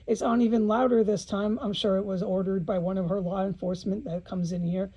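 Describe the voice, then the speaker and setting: Husky woman, blunt and aggrieved. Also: medium pitch, fairly even intonation, a little fast, mellow, lo-fi sound. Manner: blunt, profanity-laden, emphatic, repeating phrases. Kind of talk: informal spoken video monologue to viewers.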